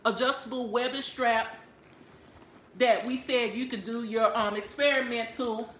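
A woman's voice: fairly high pitch, steady tone, a little slow, fairly bright tone, a little hiss or hum. Chatty Texan woman in an echoey room. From Texas. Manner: chatty and playful, addresses viewers as y'all. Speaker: handbag haul vlogger. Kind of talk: casual haul video talking to viewers. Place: living room couch at home. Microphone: camera or phone on a stand, close framing.

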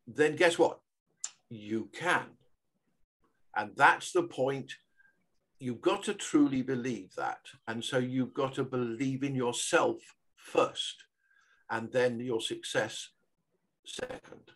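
A man's voice: mid-range voice, animated, takes it slowly, long pauses, fairly bright tone, breathy edge. An older Englishman speaking earnestly and encouragingly as a guest on a video call. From England. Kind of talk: motivational conversation on a video call.

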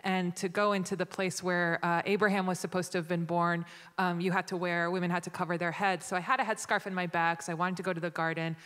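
A woman's voice: lowish voice, level tone, fast, a bit bright. A woman from the United States speaking thoughtfully on a panel in an auditorium, into a handheld mic. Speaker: panelist, writer. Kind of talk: panel discussion. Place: large auditorium stage. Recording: long hall reverb.

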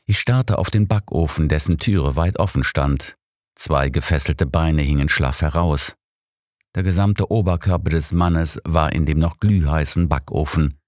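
A man with a deep voice narrates crime fiction from a script. The recording is dry, with very little room sound, as in an audiobook. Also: unhurried, darkish tone, no room sound.

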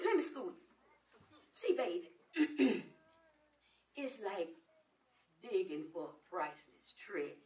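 A woman's voice: fairly high pitch, fairly bright tone, far from the mic, full character voice. A woman on stage playing a sassy old American grandmother who advises in a folksy way, repeating her words. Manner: folksy, repeats words, calls people baby and sugar. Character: sassy old grandmother dispensing frank marriage advice. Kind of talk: comedic stage play acting. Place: indoor stage set in a hall. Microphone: distant camera. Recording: echoey stage, picked up from afar.

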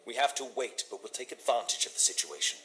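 An actor playing a cool, commanding gentleman detective in a video game, speaking in a brisk, precise and formal way. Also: medium pitch, lively intonation. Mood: brisk, impatient.

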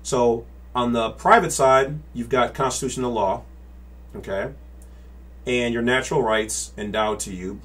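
A man's voice: medium pitch, fairly even intonation, measured pace, fairly bright tone. A man in his forties explaining to a webcam in his home office, gesturing with his hands and checking in with "all right?" and "okay?" after his points. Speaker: channel host. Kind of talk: casual explainer to camera. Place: home office with bookshelves and monitors. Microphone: webcam at desk.

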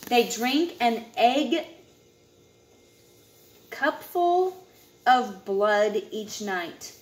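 A woman in her thirties reading a children's nature book aloud at home in an expressive way, with asides to young listeners. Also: a little high, drawn-out words. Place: indoors, open living room and kitchen at home. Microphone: camera a short distance away. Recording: echoey room with clear background noise.

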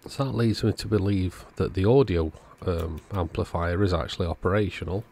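A man with a deep, gravelly voice talks through a repair at his home workbench. He thinks aloud in a calm, methodical way, and his tone has a slightly husky edge. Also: brisk. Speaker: electronics repair video host. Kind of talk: casual narrated repair walkthrough.

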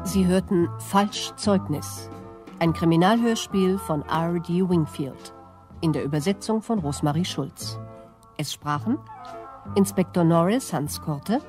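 A woman's voice: on the low side, a little slow, a little mellow, faint music behind. A woman radio announcer reading scripted credits in a formal announcement style.

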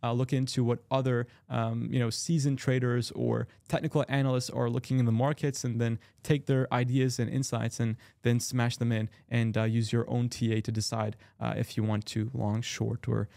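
A young man with a slightly sore throat, walking through trading charts in quick, casual talk with many fillers and restarts, close to a studio mic in a small room. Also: mid-range voice, level tone, creaky, darkish tone, dry recording. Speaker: crypto chart analyst. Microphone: large studio mic close in frame.

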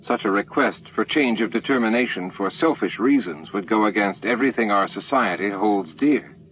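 A man's voice: on the low side, normal speed, highs cut off, lightly acted. A man voicing an earnest young male alien official in a formal, persuasive manner for an audiobook. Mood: smooth, insistent.

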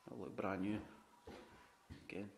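A chatty man with a low-pitched voice walks through his half-stripped flat. He talks casually, swears freely and keeps asking "you know what I mean". The handheld camera mic catches faint clicks and handling noise. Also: measured pace, creaky. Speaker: home renovator. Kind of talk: walkthrough tour narration. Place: indoors in an empty flat under renovation.

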